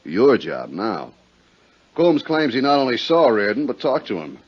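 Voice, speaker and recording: An actor playing a hearty, plain-spoken insurance adjuster, matter-of-fact and conversational, in a 1950s radio drama. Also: male voice, medium pitch, very animated, a little slow, a little hiss or hum. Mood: matter-of-fact, insistent. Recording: dull 1950s radio recording.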